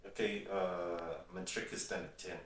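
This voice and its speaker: A man's voice: sits low, little pitch movement, normal speed. A man interviewing someone in a casual, teasing chat. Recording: echoey room, distant microphone.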